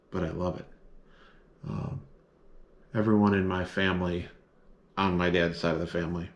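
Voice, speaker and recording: Deep-voiced man rambling casually. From northern United States. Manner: rambling, many filler words. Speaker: vlogger. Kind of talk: casual chat to camera. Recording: echoey room.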